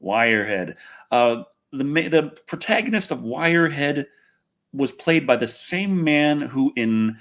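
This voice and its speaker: A man hosting a livestream and reviewing films in casual, excitable, rambling chat, repeating himself and swearing freely, with a husky voice. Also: medium pitch, unhurried. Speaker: livestream host and film reviewer.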